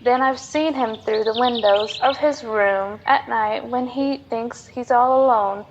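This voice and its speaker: A woman's voice: on the high side, measured pace, lo-fi sound, a little hiss or hum, lightly acted. A young woman playing a minister's trusting daughter in an amateur radio drama, earnest and plain-spoken. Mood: frank, earnest. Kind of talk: student radio drama acting.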